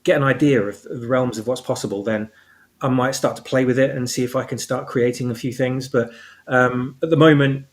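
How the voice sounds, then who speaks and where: A man's voice: mid-range voice, fairly even intonation, a little fast. A man speaking as a guest creator in a casual discussion, often rephrasing as he works toward his point. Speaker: guest, audio drama creator.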